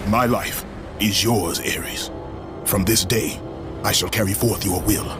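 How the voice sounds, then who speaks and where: A man playing a fierce, rage-filled Spartan warrior in a video game, declaiming gravely and forcefully. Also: fairly low pitch, slow, over music. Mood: solemn submission. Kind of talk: dramatic video game acting.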